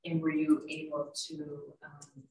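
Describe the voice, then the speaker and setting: Echoey, distant audio of an older woman with a notably low voice. She asks formal questions as a prosecutor, measured and procedural. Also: completely flat delivery, mellow. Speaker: prosecutor examining a witness. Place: courtroom, heard over video call. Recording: echoey courtroom, distant mic, faint noise.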